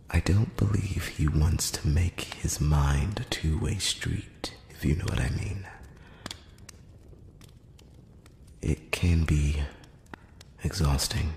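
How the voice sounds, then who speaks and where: Man as a very deep, whispering elf emissary. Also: heavily acted. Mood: soft, solemn. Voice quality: breathy whisper mixed with very low murmur. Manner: hushed, halting, measured, earnest. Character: courteous, solemn male elf emissary, gentle and cautious. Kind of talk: close-up whispered ASMR roleplay. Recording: roomy long reverb, faint noise.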